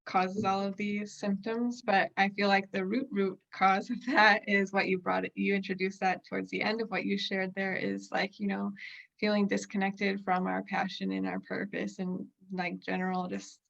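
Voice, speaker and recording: A woman's voice: mid-range voice, flat delivery, normal speed, vocal fry, fairly bright tone, lo-fi sound. A woman in her twenties hosting a podcast in a conversational way over a video call. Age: 20s. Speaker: podcast host. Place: indoor room.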